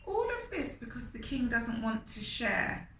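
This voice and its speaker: A woman reading a story aloud to young children, expressive and often putting questions to her listeners. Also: on the high side, a little slow. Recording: roomy echo with faint noise.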